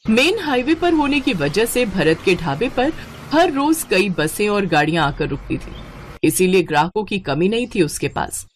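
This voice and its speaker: A woman's voice: medium pitch, sing-song, moderate pace, slight background noise. A woman reading a scripted moral story as its narrator.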